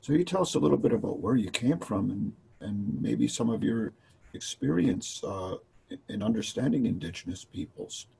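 A man hosting a podcast, asking a guest polite, measured questions. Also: on the low side, expressive, creaky, mellow, breathy edge. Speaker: podcast host. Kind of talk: interview hosting.

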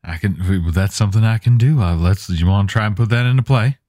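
A man's voice: on the low side, quick pace, mellow, no room sound, clean studio sound. A man playing a tabletop game, laid-back and easygoing. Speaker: player. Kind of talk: casual tabletop chat.

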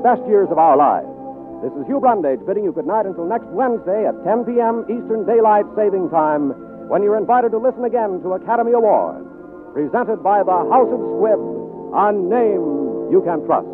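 An American man reading a script formally as a 1940s radio announcer, with measured enunciation. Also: high voice, animated, narrow, tinny audio, over music. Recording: thin vintage radio broadcast.

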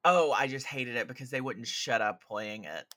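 A man's voice: mid-range voice, normal speed, sharp tone. A man talking as a podcast guest in casual, opinionated banter, blunt and sardonic with some mild swearing.

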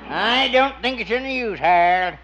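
A man's voice: sits high, lively intonation, moderate pace, a bit bright. An American man playing a skeptical small-town doctor who needles his crony, in the drawling comic style of 1950s radio. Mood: needling. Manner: needling, drawling, cackles at own jokes. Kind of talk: 1950s radio situation comedy acting. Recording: muffled, noisy old radio recording.

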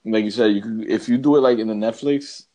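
A man's voice: lowish voice, fast, warm tone. A man co-hosting a podcast in casual chat, ranting with emphatic, slangy, repetitive phrasing. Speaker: podcast co-host.

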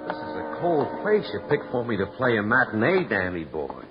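An actor playing a cynical, needling stage comedian who wisecracks in showbiz slang in an old radio crime drama. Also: male voice, fairly low pitch, wildly swooping pitch, normal speed, over loud music. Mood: flippant. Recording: muffled old radio recording, faint noise.

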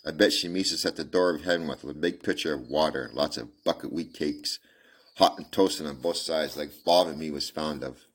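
A man reading the part of a weary frontier man, gentle with a child, in a folksy drawl. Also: rumbling low, moderate pace, husky. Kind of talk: amateur audiobook reading.

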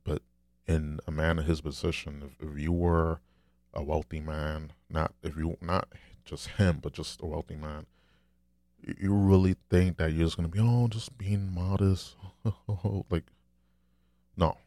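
A man with a deep, low, soft voice, talking candidly and rambling in a casual solo monologue, swearing now and then. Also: steady tone, a little slow, dry recording, clean studio sound.

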